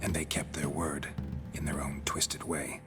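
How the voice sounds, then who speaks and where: A world-weary fantasy game monster hunter. His voice is extremely low, and he speaks in a terse, guarded, matter-of-fact way. Music plays clearly underneath. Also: flat delivery, unhurried. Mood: grave. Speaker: game protagonist. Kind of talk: restrained video game voice acting.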